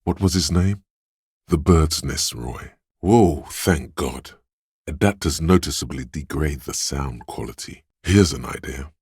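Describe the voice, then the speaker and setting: A man voicing the brooding, sly inner voice of a detective's mind in a video game, narrating in a measured, theatrical way with a gravelly, husky voice. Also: very deep voice, darkish tone, no echo, clean studio sound, full character voice. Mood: wry, deliberate. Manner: measured, deliberate, theatrical delivery. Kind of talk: dramatic scripted game narration of inner thoughts.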